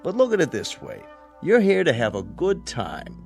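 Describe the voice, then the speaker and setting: An actor playing a smooth-talking casino manager in a radio drama, persuasive and placating like a salesman. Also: on the high side, wildly swooping pitch, moderate pace, a little mellow, faint music behind, heavily acted.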